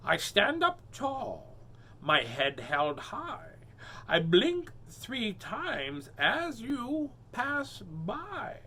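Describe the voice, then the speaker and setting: A prim storybook mermaid, as a man puts her on in a high falsetto, dragging each word out slowly and haughtily. The voice is lifted well above his own and bright in tone. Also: wildly swooping pitch, full character voice. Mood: sing-song. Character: prim, aloof female mermaid.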